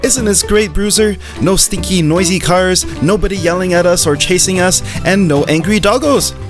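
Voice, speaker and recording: An actor voicing an eager, wide-eyed, food-loving dog in an animated visual novel story. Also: medium pitch, moderate pace, music in the background, natural voice. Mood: overjoyed. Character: Meatball, excitable, naive, friendly, food-loving male dog narrator.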